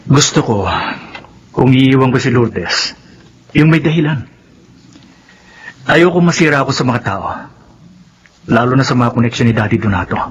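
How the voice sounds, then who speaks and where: An actor playing a callous, calculating Filipino businessman husband in a radio drama, with a husky, smug delivery. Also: medium pitch, normal speed, pauses often, lightly acted. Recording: slightly dull radio sound.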